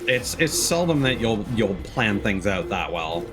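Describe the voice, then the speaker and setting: A man's voice: on the low side, sing-song, measured pace. A man giving casual, playful let's play commentary as a game streamer. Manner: playful, jokey. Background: game music.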